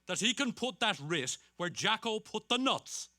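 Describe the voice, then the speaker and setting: A man's voice: high voice, big pitch swings, measured pace, bright, forceful tone, no echo, clean studio sound, full character voice. An actor playing a big, bluff, assured Dublin man in an emphatic staged reading of a novel.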